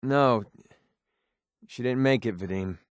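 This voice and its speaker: Male actor as young American gun-for-hire, sarcastic, world-weary but soft-hearted, mid-pitched, casual slangy delivery, dry close recording, video game character. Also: slow, clean tone, no echo, natural voice. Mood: somber.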